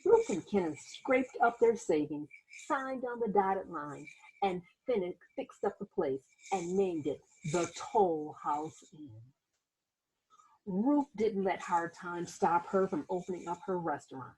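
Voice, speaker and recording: A woman hosts a picture book read-aloud for children. Her voice is very husky and soft-edged, with a mellow tone. She tells the story with lively, varied pitch and speaks directly to young listeners in small asides. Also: slow, poor audio.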